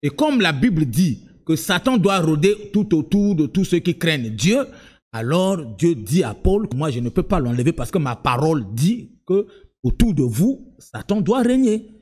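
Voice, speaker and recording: A man preaching a sermon in French, which is not his first language, moving from low, direct asides to high, sung-out cries of emphasis. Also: very animated, moderate pace, darkish tone, husky. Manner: repeats words and restarts, talks to the listener directly. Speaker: apostle preaching.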